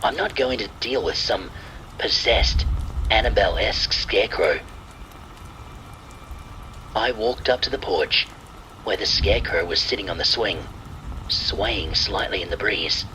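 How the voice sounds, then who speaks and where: A man reading a scripted first-person horror story in a measured, deliberate storytelling manner. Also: on the low side, low-quality recording. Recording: steady rain noise behind the voice. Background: rain and thunder sounds.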